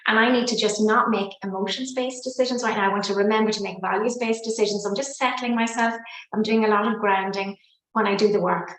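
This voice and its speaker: A woman's voice: medium pitch, steady tone, fast, roomy sound, poor audio. An Irish woman in her fifties, a psychotherapist and coach, talking fluently and expressively as an interview guest, with folksy sayings. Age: 50s. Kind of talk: reflective interview talk.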